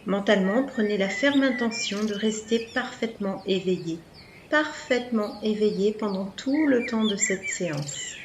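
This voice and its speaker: A woman leading a guided yoga relaxation as instructor, speaking in soothing, measured phrases with pauses. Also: medium pitch, huge pitch swings. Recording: echoey room, background noise, birdsong.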